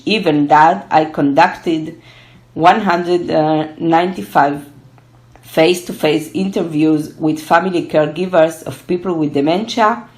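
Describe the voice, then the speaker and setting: A young woman from Argentina, Spanish her first language, answering interview questions in English with many pauses and fillers. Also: low voice, darkish tone. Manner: hesitant, many fillers and restarts. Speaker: guest, gerontology researcher. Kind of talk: casual interview.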